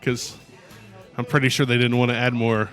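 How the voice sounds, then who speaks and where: A young man hosting a podcast in a loud, booming voice. He rambles casually and laughs often. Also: little pitch movement, moderate pace, faint music behind. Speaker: podcast host. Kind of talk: casual chat.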